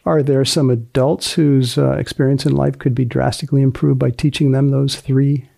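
Gravelly, mellow male podcast host. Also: medium pitch, level tone, moderate pace. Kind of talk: interview questions and podcast announcements.

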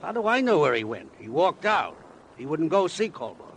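Slick lawyer with a very lively, swooping delivery. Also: male voice, on the high side, moderate pace. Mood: mocking, evasive. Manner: glib, needling, playful sarcasm. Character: slick, cagey, mocking male lawyer. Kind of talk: old-time radio crime drama acting. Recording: slightly noisy vintage radio recording.

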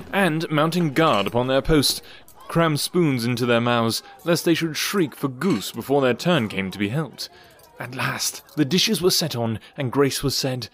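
A man narrating a classic storybook tale in an expressive, theatrical storytelling manner. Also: medium pitch.

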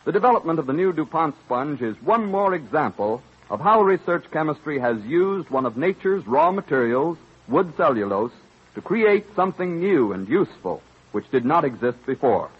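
A man reading a scripted vintage radio commercial as announcer, with emphatic, polished salesmanship and a husky voice. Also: fairly high pitch, very animated, normal speed. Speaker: radio announcer, sponsor spokesman. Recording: dull old radio broadcast recording.

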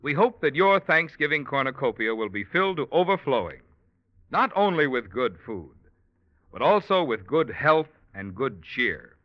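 A man's voice: mid-range voice, sing-song, normal speed, no echo. An American man working as a radio announcer, reading scripted copy in a polished, formal 1940s style. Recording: dull vintage radio recording, faint music.

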